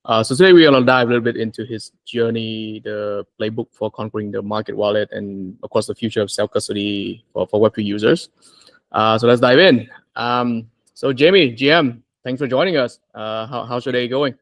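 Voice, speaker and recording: Halting male podcast host, dry sound. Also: medium pitch, dry recording. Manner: halting, repeats words and uses many fillers. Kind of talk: casual interview hosting.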